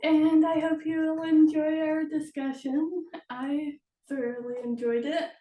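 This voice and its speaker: A woman chatting casually as a host and author, speaking in halting, broken phrases with pauses. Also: high voice, flat delivery, dark tone. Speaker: host, author of historical novels. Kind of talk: casual chat about writing. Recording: roomy echo, distant from the mic.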